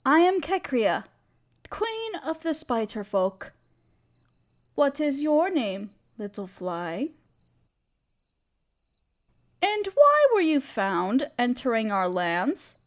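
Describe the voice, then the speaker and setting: A woman playing a regal, tender spider queen in an audio roleplay, speaking in a gentle, soothing and slightly formal way. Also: sits high, very animated, slow, exaggerated voice. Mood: regal, curious. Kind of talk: scripted roleplay audio drama. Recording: crickets chirping behind the voice. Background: crickets and night insects.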